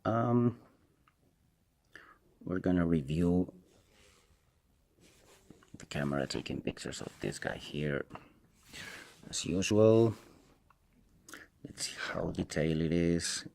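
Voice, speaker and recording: A man with a Spanish accent and Spanish as his first language, giving a casual, unscripted product review in a halting, hesitant way and repeating himself. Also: low voice, expressive, gravelly, darkish tone. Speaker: tech reviewer, video host.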